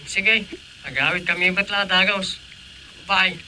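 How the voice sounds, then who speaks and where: A man's voice: sits high, unhurried, sharp tone, slight background noise, heavily acted, low-quality recording. A man playing an exasperated comic village councilor with an Ilocano accent, full of questions and exclamations, in a radio comedy-drama. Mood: resigned, exasperated.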